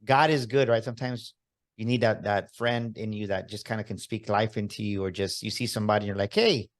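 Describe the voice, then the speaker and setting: A man's voice: fairly low pitch, steady tone, brisk. A man hosting a motivational podcast, talking with enthusiastic, emphatic hype-man energy. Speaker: podcast host. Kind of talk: upbeat motivational podcast interview.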